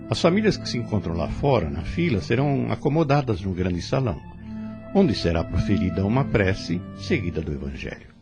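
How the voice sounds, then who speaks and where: Man playing a deep-voiced, kindly Brazilian spirit instructor. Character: calm, kindly male spirit instructor, earnest and devout. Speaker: spirit mentor in an audio drama. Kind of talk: didactic audio drama dialogue.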